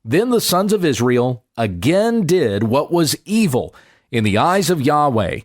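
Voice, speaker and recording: A man, a pastor, reading scripture aloud in a measured, deliberate and expressive way. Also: fairly high pitch.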